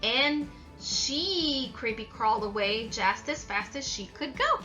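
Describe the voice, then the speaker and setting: A woman's voice: fairly high pitch, lively intonation, slow pace, over music. A woman teacher reading aloud and instructing young children, addressing them directly and stressing key words. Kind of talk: slow instructional read-aloud with call-and-response prompts.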